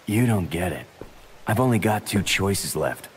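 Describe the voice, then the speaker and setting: A man's voice: deep voice, lively intonation, measured pace, slight background noise. A man with an American accent playing a brooding, bitter young ex-Marine convict, terse and guarded, in a video game. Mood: dismissive, resigned. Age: young adult. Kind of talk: dramatic video game cutscene acting.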